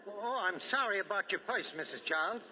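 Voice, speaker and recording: A small-time crook with a very high, piercing voice. He is chummy and a bit sheepish, swinging his pitch in old radio-drama style, on a muffled 1940 broadcast. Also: lively intonation, a little fast, pushed and bright, full character voice. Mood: flustered, sheepish. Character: fast-talking small-time pickpocket, sheepish and chummy toward acquaintance. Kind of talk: 1940s radio acting.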